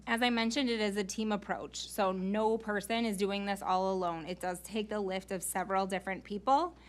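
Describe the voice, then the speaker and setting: A woman's voice: mid-range voice, fairly even intonation, a little fast. A woman speaking as a school staff member in an informal, explanatory meeting presentation, earnest and given to rhetorical questions.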